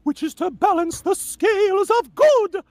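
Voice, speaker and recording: A woman voicing a solemn, grandiose elder fairy guardian in a theatrical, drawn-out table read of a fantasy game script. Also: very high-pitched, lively intonation, darkish tone. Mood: urgent, grave. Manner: theatrical, drawn-out, breaks into flustered asides.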